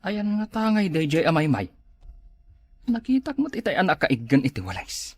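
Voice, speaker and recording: Male, very animated intonation, acting a nagging village co-worker who bickers and scolds in comedy. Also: fairly high pitch, normal speed, breathy edge, no echo, full character voice. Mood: scolding. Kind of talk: comedy skit dialogue in Ilocano.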